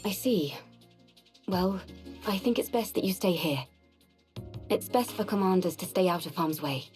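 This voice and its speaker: An actress playing a calm, capable female warrior in a game cutscene, speaking matter-of-factly. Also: fairly low pitch, normal speed, close to an everyday voice. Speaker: diver volunteering to check a drain. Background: game music.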